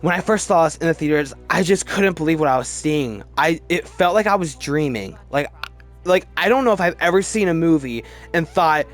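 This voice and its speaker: Higher-voiced man gushing over films. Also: expressive, moderate pace, faint music behind. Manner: gushing fan enthusiasm, repeats words for emphasis. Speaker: video host ranking films. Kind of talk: casual opinionated commentary.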